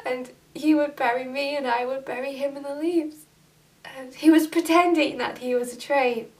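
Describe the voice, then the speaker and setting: A young woman playing a guilt-ridden young woman who confesses in a hushed, halting voice, recorded from a distance. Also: sits high, fairly even intonation. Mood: grieving. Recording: distant camera mic.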